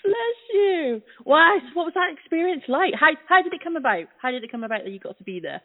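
A woman co-host reacts with gushing, affectionate remarks. Her high voice swoops a lot and she speaks slowly. The audio is thin and tinny, like a phone line. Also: big pitch swings. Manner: gushing, affectionate, many laughs. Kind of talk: casual chat.

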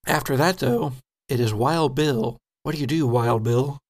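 A man's voice: medium pitch, unhurried. A man hosting as game master, narrating a tabletop horror role-playing game in a dramatic storytelling manner. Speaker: game master, podcast host.

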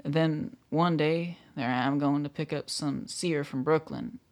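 Man acting nervous, creaky-voiced young country kid. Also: fairly high pitch, steady tone, unhurried. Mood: anxious, pleading. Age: young adult. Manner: hesitant, stammering, folksy. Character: nervous, contrite rural youth of about twenty. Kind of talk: radio play acting.

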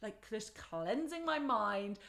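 Bright, chatty young woman. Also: mid-range voice, expressive, takes it slowly, vocal fry. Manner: chatty, enthusiastic, full of restarts. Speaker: podcast guest, author. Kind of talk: casual conversation.

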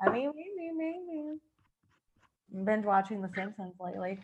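A woman's voice: mid-range voice, sing-song, unhurried, husky, highs cut off. A woman with an informal, slangy manner hosting a casual group discussion. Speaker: podcast host leading a group discussion.

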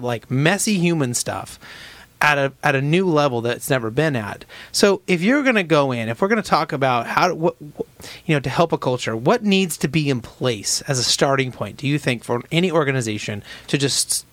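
Rambling American interview host. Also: male voice, mid-range voice, expressive, moderate pace, a bit bright, no echo. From United States. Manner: rambling, thinking aloud with fillers. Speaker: podcast host. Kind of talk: conversational interview.

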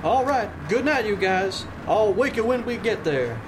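A man's voice: sits high, very animated, unhurried, noisy background. A man playing a crude, wisecracking young bus driver in a comedic radio play, with a cocky, teasing delivery. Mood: easygoing confidence.

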